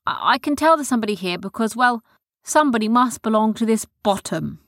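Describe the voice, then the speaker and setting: A woman's voice: a little high, expressive, brisk, a bit bright, no room sound, clean studio sound. A woman voicing a curious, slightly hesitant young boy in a storybook.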